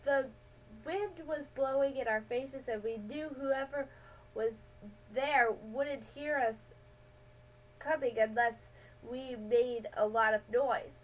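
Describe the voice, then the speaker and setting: A woman giving an amateur dramatic reading of a children's adventure story, halting and correcting herself now and then. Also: sits high, rough recording. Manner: halting, with stumbles and self-corrections.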